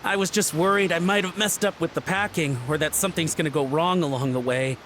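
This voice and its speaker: A man's voice: on the high side, brisk, a bit bright, a little hiss or hum, lightly acted. A man playing a nervous young rookie smuggler. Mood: worried. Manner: anxious, unsure. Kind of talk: game voice acting.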